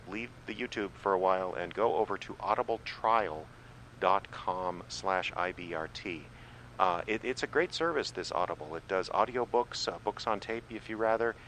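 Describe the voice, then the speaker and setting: Male small-town radio presenter: lowish, forceful voice, homespun informal delivery with some hesitancy. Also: slight background noise, rough recording. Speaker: radio host and announcer.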